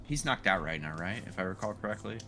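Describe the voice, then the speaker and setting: A man's voice: sits low, expressive, fast talker, vocal fry, a crowd in the background. A man talking casually as a player in a tabletop roleplaying game. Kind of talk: casual chat.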